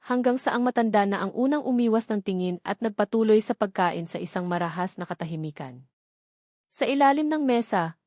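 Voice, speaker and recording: A woman's voice: medium pitch, darkish tone, no room sound, studio quality. A woman reading a scripted dramatic love story as its narrator.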